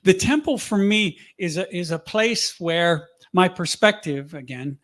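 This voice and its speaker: Halting man, many restarts. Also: sits high, expressive, a bit bright. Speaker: speaker on a panel. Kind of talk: casual talk to an audience.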